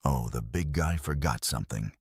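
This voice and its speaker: A man playing a bored, blunt, deadpan male hero in an audio story. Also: extremely low pitch, moderate pace, husky, no echo, pitched below the performer's own voice.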